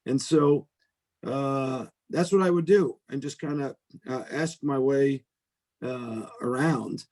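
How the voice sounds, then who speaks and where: Mellow middle-aged American man. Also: medium pitch, takes it slowly. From United States. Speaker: venture capitalist, guest speaker. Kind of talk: informal talk on a video call. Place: video call.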